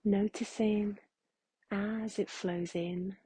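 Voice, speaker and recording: A woman leading a guided meditation in a soft, hushed, breathy voice, gently and with long unhurried pauses. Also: medium pitch, fairly even intonation, dry recording.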